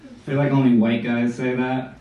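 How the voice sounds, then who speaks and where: A man's voice: mid-range voice, flat delivery, brisk, lo-fi sound, heavy background noise. A man in his late twenties with a nasal American voice, doing a dry stand-up set in a small club. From United States. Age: 20s. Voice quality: nasal, stuffy voice. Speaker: stand-up comedian. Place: small comedy club, indoors, with audience. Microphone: handheld mic, recorded from the crowd. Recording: roomy audience phone recording from far off. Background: audience laughter.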